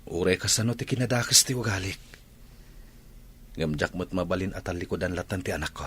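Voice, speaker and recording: Man playing a low, husky earnest rancher. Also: fairly quick, a bit bright. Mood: determined. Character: earnest, determined young rancher and father. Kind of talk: Ilocano radio drama acting.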